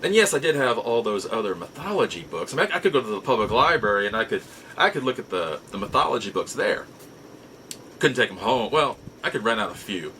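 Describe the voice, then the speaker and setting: Bright-voiced middle-aged man telling stories. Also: lowish voice, sing-song, brisk, slight background noise. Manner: folksy, rambling, repeats and rephrases himself. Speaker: host of a nostalgia show. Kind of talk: casual personal storytelling to camera.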